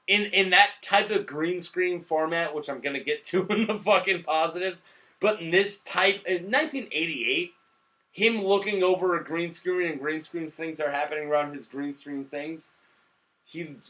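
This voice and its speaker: A drunk man co-hosting a casual movie review, rambling emphatically and excitably and swearing freely. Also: on the high side. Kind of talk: casual profane movie chat.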